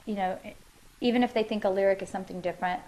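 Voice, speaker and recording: A woman's voice: medium pitch, fairly even intonation, racing, mellow. A woman musician answering interview questions in her living room, thoughtful and loose, with many fillers and restarts.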